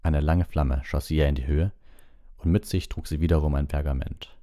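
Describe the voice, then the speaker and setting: A man reading an audiobook and hosting a livestream, with a relaxed, informal way of talking. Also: very deep voice, moderate pace. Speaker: audiobook reader, livestream host. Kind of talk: narration and casual livestream chat.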